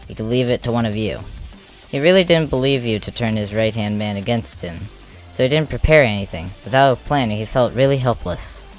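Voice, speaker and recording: An expressive storyteller narrating scripted action for an amateur comic dub. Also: medium pitch, a little fast. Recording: music under the voice.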